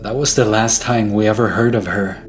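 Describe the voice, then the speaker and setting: A man's voice: on the low side, fairly even intonation, moderate pace. A man narrating a scripted first-person horror story. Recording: music behind the voice.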